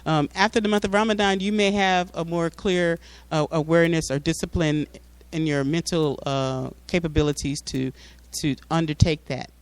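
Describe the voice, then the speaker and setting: A man's voice: a little high, steady tone, normal speed, clean tone, no room sound. A man giving an informal explanatory talk to a community audience, often repeating words as he searches for phrasing. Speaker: public speaker at a mosque. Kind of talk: informal public address with slides.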